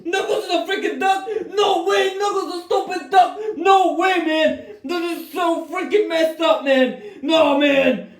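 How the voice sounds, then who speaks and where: A man's voice: extremely high pitch, a little slow. Male performer: a hot-tempered critter stuck as a duck, rants and wails in a roomy space. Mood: outraged. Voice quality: shouty, whiny, grumbling. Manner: dramatic, wails and complains. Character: hot-headed male echidna turned into a duck. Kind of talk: playful homemade toy play. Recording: roomy echoey room.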